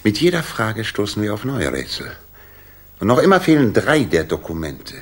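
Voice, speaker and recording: Confident detective, really low husky voice, probing and bossy, old german radio drama. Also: male voice, huge pitch swings, moderate pace, heavily acted. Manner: commanding, probing, self-assured. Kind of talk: 1960s German radio play acting.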